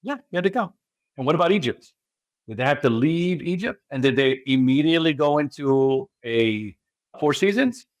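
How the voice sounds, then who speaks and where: A man's voice: on the high side, animated, moderate pace, no echo. A man from California with an American accent, a spiritual guide being interviewed, who talks emphatically and keeps asking rhetorical questions. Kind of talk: interview talk.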